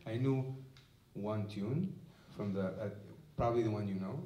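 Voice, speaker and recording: A man's voice: fairly low pitch, normal speed, mellow. Man speaking from afar in an echoey hall. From Israel. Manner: thoughtful, searching for words with many restarts. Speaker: musician and lecturer. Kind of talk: onstage talk to an audience. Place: museum event space with audience. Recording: echoey room heard from a distance.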